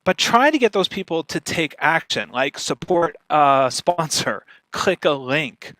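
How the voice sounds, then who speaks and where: A man speaking conversationally as a guest expert, animated and often checking in with "right?". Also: a little high, dry recording, rough recording. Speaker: guest speaker, podcaster and marketer. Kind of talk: conversational talk on podcast marketing.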